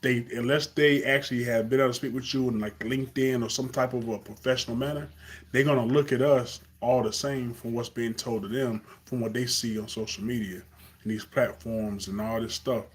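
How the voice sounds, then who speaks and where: A man's voice: mid-range voice, steady tone, fairly quick. Earnest Carolina man musing live. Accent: Carolina Southern US. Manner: earnest, rambling, with many fillers and restarts. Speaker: live stream host. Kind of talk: unscripted live monologue.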